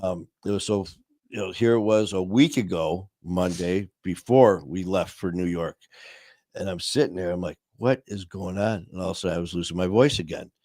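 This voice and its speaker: A man hosting a casual live stream, talking warmly to viewers in a rambling way with many fillers and restarts. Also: low-pitched, normal speed, no echo, studio quality. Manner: friendly and upbeat, addressing viewers. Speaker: livestream host.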